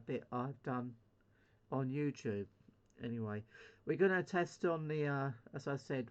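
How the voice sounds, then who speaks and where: A man's voice: mid-range voice, a little mellow, dull audio. A British man chatting casually as a CB radio hobbyist on his video channel, rambling hesitantly in a self-deprecating way. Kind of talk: casual hobby vlog chat.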